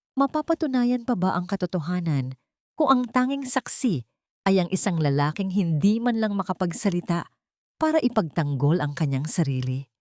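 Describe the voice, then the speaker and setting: A woman's voice: fairly low pitch, darkish tone, no room sound, studio quality. A woman reading a scripted dramatic audiobook as narrator, in a solemn, suspenseful manner. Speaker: story narrator.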